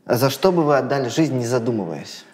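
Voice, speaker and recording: Calm young male interviewer. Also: medium pitch, brisk, in a large hall. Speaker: host, interviewer. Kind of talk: rapid-fire interview questions. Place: studio room with plants. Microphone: lapel mic.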